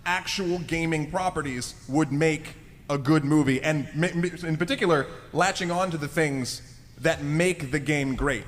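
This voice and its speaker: A man hosting a live panel discussion, talking informally and addressing the audience. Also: a little high. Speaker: podcast host. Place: large convention room with live audience. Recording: echoey hall, clearly noisy.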